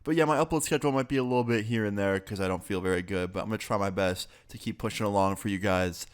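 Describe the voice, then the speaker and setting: A man's voice: lowish voice, quick pace, clean tone. A young man, a bit sick, telling a casual, profane story on his vlog. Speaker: YouTuber.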